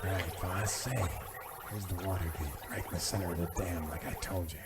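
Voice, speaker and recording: A man playing a dim-witted, bumbling villain's henchman with a gravelly voice in a radio comedy. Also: deep voice, steady tone, fairly quick. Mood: confused. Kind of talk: melodramatic radio comedy acting. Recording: loud background noise.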